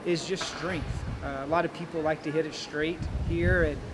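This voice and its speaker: A man who is a college golf coach, answering interview questions in a plain, steady, encouraging way. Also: on the high side, normal speed. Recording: noisy outdoor recording.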